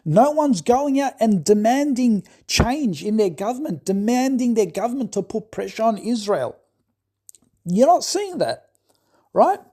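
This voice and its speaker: A man's voice: very high-pitched, big pitch swings, measured pace, mellow. A man in an impassioned, scolding monologue who keeps asking "right?". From Australia. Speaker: commentator.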